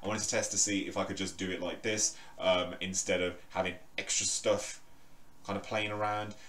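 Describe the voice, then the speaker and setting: Low booming guy rambling on camera in his bedroom, lots of ums, roomy echo. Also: flat delivery, moderate pace, a bit bright, far from the mic. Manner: rambling, loose, many fillers and restarts. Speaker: crypto vlogger. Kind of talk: casual talk to camera. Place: bedroom, indoors. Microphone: microphone in the room, standing away from it.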